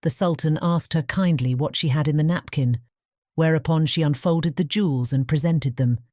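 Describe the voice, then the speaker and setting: A woman narrating a fairy tale audiobook in a measured, formal storytelling manner. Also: deep voice, dark tone, no room sound, clean studio sound.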